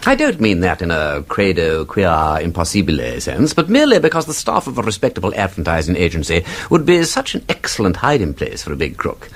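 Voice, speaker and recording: An actor playing an urbane, erudite aristocratic detective, wry and discursive, in a period radio drama. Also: male voice, sits low, wildly swooping pitch, a little fast, few pauses, full character voice. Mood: musing. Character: witty, erudite male aristocratic amateur sleuth, self-assured.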